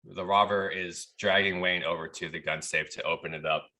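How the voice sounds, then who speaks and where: A man from Texas tells a story on a podcast, loose and conversational. His voice sits low but has a bright, forward edge to it. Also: moderate pace, rough recording. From Texas, USA. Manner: relaxed, chatty, laughs at the absurd parts. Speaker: podcast guest. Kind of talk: casual storytelling.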